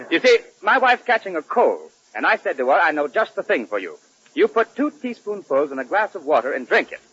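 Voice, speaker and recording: A befuddled, very polite gentleman in a 1940s radio comedy. His fluttery voice sits fairly high and swings about, heard through a muffled, faintly hissy old broadcast. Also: very animated, normal speed. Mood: befuddled, apologetic. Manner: polite, fluttery, giggly, muddling names. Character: flustered, forgetful, polite male customer, befuddled husband.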